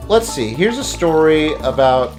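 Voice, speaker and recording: A man's voice: on the high side, lively intonation, a little slow. A young adult man telling a casual story while playing a video game, rambling and jokey, often sidetracking himself. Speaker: gaming video host. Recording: music behind the voice. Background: video game music.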